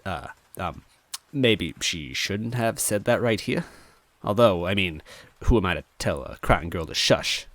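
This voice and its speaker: A man with a very low voice reads fiction aloud in the first person. He is conversational and wry, acting out the narrator's thoughts, and the sound is close and dry. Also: expressive, unhurried, a bit bright, dry recording. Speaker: story reader.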